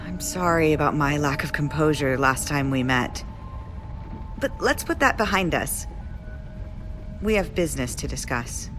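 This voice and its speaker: An actress playing a cool, composed resistance leader with a measured, formal, superior manner. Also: female voice, low voice, expressive, over music. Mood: businesslike.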